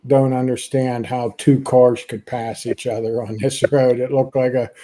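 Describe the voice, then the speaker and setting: A man's voice: medium pitch, level tone, moderate pace. A man from Pennsylvania hosting a casual livestream chat, talking easily with lots of "you know" and laughter. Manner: chatty, warm laughs, many "you know" fillers. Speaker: podcast host.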